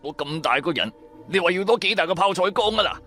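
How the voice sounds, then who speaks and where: A man as a cheeky, high-pitched young sidekick. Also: animated, fast talker, bright, forceful tone, soft background music. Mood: mock-wounded. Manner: wheedling, teasing. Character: cheeky, mouthy young male sidekick, mock-innocent.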